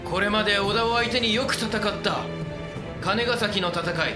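Honorable warlord facing defeat played by a man, notably high male voice, commanding archaic delivery, period radio drama. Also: moderate pace, music in the background, close to an everyday voice. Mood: rousing, commanding. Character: proud, honorable samurai lord resigned to defeat. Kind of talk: Japanese period audio drama acting.

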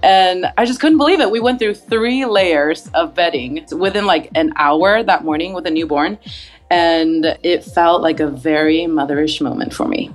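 A young mother telling a personal anecdote as a guest, in an animated storytelling manner. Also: fairly low pitch, normal speed. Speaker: podcast guest.

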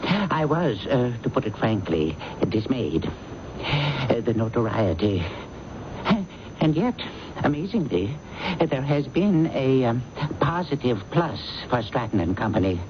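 Pompous older boss in old radio play, hems and haws, very up and down, noisy recording. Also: male voice, mid-range voice, big pitch swings, lo-fi sound. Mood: hedging curiosity. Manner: pompous, halting, hemming and hawing. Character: stuffy, prurient male investment-house boss. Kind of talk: radio drama acting.

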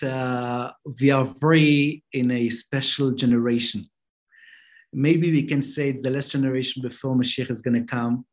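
A man, a rabbi, speaking as a guest, with an of Yiddish and many halting restarts. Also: medium pitch, darkish tone. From Israel. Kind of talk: interview answers.

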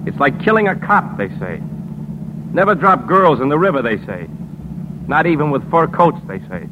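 An actor playing a defiant, dryly sardonic newspaper editor in a 1950s radio drama, with clipped delivery. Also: male voice, big pitch swings, normal speed. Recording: noisy, muffled 1950s radio recording with car rumble.

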